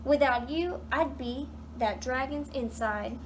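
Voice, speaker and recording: A woman voicing a kindly, excitable witch from a picture book, exclaiming theatrically. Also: high-pitched, slow, background noise. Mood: grateful.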